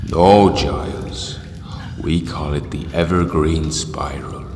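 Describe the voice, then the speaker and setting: A man acting in an audio drama as a calm, zealous cult leader, speaking solemnly and grandly with hushed menace. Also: extremely low pitch, slow, slight background noise. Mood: ominous, reverent. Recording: echoey hall with long reverb.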